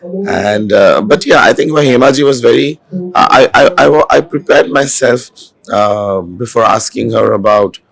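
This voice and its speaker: A hoarse man, an author and film director, speaking in a festival interview with many fillers and restarts. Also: medium pitch, expressive, unhurried, dry recording, poor audio. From India. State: hoarse, has lost his voice. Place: literature festival venue. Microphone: handheld mic.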